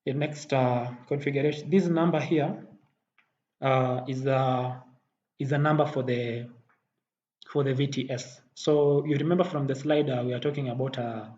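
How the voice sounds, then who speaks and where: A man giving a software tutorial as a technical instructor, halting and often rephrasing as he explains. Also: medium pitch, steady tone. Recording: dull muffled audio, faint background noise.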